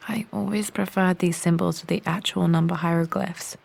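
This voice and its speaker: A woman's voice: fairly low pitch, fairly even intonation, normal speed, creaky, darkish tone, breathy edge, heavily acted. An actress playing a young, determined woman archaeologist in a video game, with an English accent, murmuring reflectively to herself.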